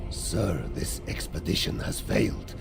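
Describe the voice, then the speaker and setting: An actor playing a pragmatic, alarmed soldier who urges retreat, blunt and urgent, with a rough voice in a cinematic game. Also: male voice, unhurried, lightly acted. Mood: grave urgency. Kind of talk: cinematic video game acting. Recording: music underneath.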